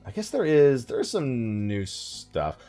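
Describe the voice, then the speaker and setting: Rambling male gamer, lively swooping intonation. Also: medium pitch, slow pace, faint music behind. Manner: rambling, thinking aloud, often sidetracking himself. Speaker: gaming video creator and live streamer. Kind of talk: casual let's play commentary, rambling.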